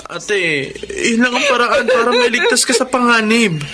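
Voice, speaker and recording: High-pitched devoted young brother. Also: male voice, wildly swooping pitch, moderate pace, a loud crowd, exaggerated voice. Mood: consoling. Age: young adult. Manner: earnest, emotional. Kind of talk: Tagalog radio drama acting.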